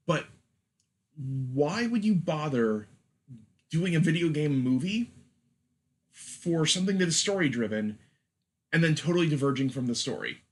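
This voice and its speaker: A man's voice: fairly high pitch, lively intonation, a little slow, pauses often. A man hosting a casual podcast discussion, framing questions for the panel in a conversational way. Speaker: podcast host.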